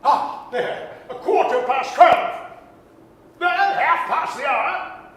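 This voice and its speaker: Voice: high-pitched, takes it slowly, fairly bright tone. A performer playing a crotchety, nervous old male miser who stammers and gets flustered, in a theatrical 1930s radio drama style. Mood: befuddled muttering. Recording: very echoey hall livestream with hiss.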